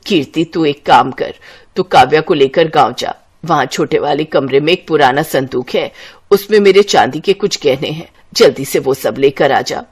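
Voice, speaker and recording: A caring middle-aged village mother from a storybook, acted by a woman. Her voice drops low as she gives worried, concerned instructions to her children. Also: moderate pace, a little mellow, breathy edge. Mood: worried, urgent. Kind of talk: storybook character voice.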